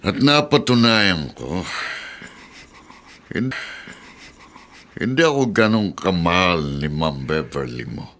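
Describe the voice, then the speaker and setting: A man playing a wounded, brooding husband and mayor in a Filipino radio drama, deliberate and weighty, with a Filipino accent. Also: deep voice, expressive, a bit bright, full character voice. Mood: bitter, hurt.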